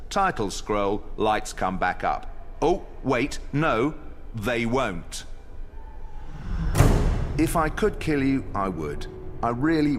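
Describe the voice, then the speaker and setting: An actor playing an ancient banished trickster god, a smug and sardonic game villain delivering theatrical monologues, savoring each word. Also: male voice, on the low side, fairly bright tone. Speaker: video game villain in story cutscenes.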